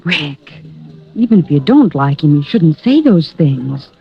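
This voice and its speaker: A woman's voice: low voice, sing-song, a little slow, vocal fry, husky, heavily acted. An actress playing a playful, affectionate young woman out with her detective boyfriend, pleading and teasing in 1940s radio style. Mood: coaxing. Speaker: detective's girlfriend. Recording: dull old radio recording with music.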